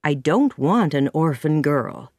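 A woman's voice: low-pitched, lively intonation, takes it slowly, a little mellow, no room sound, clean studio sound, exaggerated voice. A woman voicing a stern, practical farmhouse woman in a storybook, with a firm, no-nonsense manner. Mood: decided.

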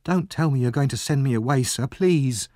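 A man's voice: mid-range voice, normal speed, dark tone, no echo, studio quality, pitched above the performer's own voice, lightly acted. A man voicing an innocent, eager young orphan boy in a storybook, earnest and pleading. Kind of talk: audiobook character reading.

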